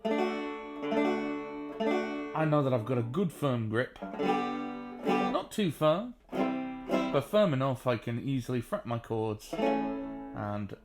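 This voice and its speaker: A man with a baritone voice, sitting on a sofa at home and casually explaining how to play the banjo, with plenty of ums and uhs. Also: sing-song, over loud music. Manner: chatty, unhurried, frequent ums and uhs. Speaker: banjo teacher. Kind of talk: relaxed spoken music tutorial.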